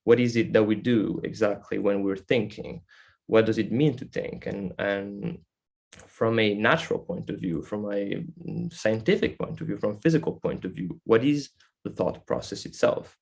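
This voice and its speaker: A man with an Italian accent gives an informal talk in a fairly low voice with a strong gravelly creak. His delivery is halting and reflective as he explains things as a guest speaker. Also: darkish tone. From Italy. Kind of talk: informal spoken presentation.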